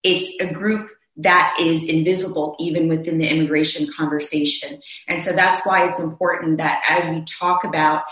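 A man's voice: fairly high pitch, level tone, normal speed, hardly any pauses, pushed and bright, roomy sound, low-quality recording. A man speaking earnestly on a panel discussion, telling his own story, often restarting his phrases. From Zambia.

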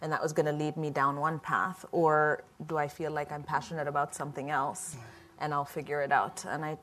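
A middle-aged woman from Ethiopia, a company founder answering questions on a panel in a relaxed, reflective way. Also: low voice, a little hiss or hum.

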